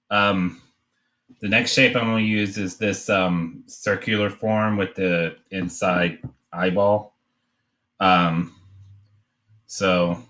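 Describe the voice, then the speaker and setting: A man giving an informal drawing demonstration, talking in a hesitant, halting way as he thinks aloud. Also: low voice, bright, forceful tone. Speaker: art demonstrator.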